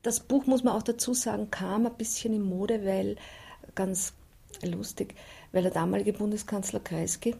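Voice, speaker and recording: A woman with a creaky, husky voice talking haltingly in a conversational interview. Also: mid-range voice, steady tone, mellow.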